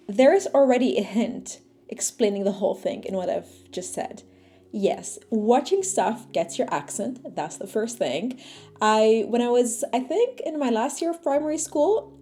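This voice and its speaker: A young woman whose first language is Arabic, chatting casually to camera as a vlogger, full of fillers and asides. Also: medium pitch. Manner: chatty, animated, lots of fillers. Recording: faint music underneath.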